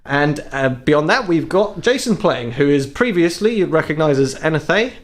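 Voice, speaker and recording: Upbeat male game master, fairly high voice, chatty and long-winded podcast presenting. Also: expressive, moderate pace. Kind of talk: casual podcast introduction.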